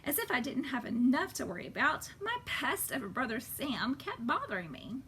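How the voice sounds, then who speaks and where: A woman's voice: high voice, very animated, moderate pace, bright, forceful tone, a little hiss or hum, exaggerated voice. A woman reading as a sulky, screen-loving girl who grumbles dramatically through a storybook road trip. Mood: sulky, grumbling.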